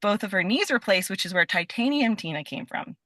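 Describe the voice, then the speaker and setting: Bright-voiced, chatty, enthusiastic woman. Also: medium pitch, animated, fairly quick, no echo, clean studio sound. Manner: chatty, enthusiastic, heavy use of "like". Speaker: podcast guest, stage performer and voice actor. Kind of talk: casual interview chat.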